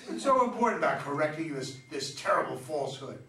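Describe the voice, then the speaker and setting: A man's voice: on the high side, sing-song, fast talker, crowd noise. A man playing a defensive, exasperated husband and father arguing stubbornly in a staged play reading. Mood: pleading, sardonic. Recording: echoey, noisy room, distant microphone.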